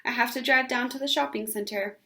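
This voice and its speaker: Storybook mom, read by a woman: raised pitch, brisk, firm, exasperated scolding. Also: fairly even intonation, pushed and bright. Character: exasperated mother scolding her little girl.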